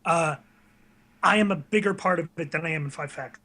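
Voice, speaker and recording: Teen guy, 19, pretty high bright voice, casual interview guest, honest and a bit hesitant. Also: brisk. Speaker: interview guest, online video creator. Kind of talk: casual chat.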